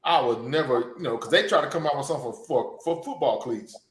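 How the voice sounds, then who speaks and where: A man's voice: medium pitch, fast talker, roomy sound. A man in his fifties on a casual sports podcast, blunt and teasing. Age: 50s. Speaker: podcast co-host.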